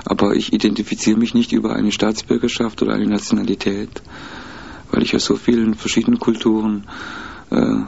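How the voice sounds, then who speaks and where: Gravelly, husky man speaking slowly and carefully. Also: lowish voice, flat delivery, darkish tone. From mixed German, Spanish, Italian and Peruvian roots. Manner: measured, hesitant, many fillers. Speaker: interviewee. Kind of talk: thoughtful interview answers. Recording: dull lo-fi recording.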